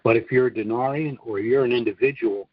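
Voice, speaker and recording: A husky-voiced man presents an informal briefing on a conference call. His voice sits a little low, and he sets out his points in deliberate chunks with weighty pauses. The audio is dull and lo-fi, with very little room around it. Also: no echo.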